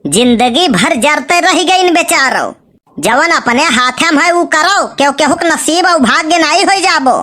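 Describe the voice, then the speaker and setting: A woman's voice: high voice, animated, measured pace, fairly bright tone. A woman voicing a cheeky, stubborn cartoon matchmaker who coaxes insistently in a colloquial Awadhi village accent. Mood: persuading. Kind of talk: comic cartoon dialogue in village dialect.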